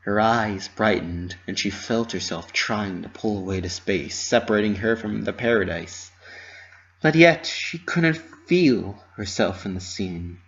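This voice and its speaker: A man's voice: fairly low pitch, measured pace, fairly bright tone. Man narrating a story, slightly muffled. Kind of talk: dramatic reading of a fan fiction story. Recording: muffled lo-fi home recording.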